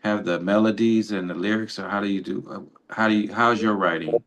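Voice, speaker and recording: A man's voice: fairly low pitch, steady tone, brisk, noisy background. A man co-hosting an interview, asking questions casually in a polite, understated way. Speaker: co-host.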